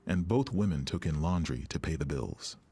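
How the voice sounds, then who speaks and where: A man's voice: extremely low pitch, expressive, normal speed, warm tone. A man reading scripted narration for a historical documentary. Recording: music behind the voice. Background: background music.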